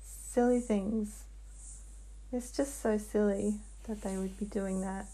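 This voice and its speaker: A woman describing a trance vision in a dreamlike way as a guided hypnosis client, halting and hushed, with long pauses. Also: medium pitch, fairly even intonation, rough recording.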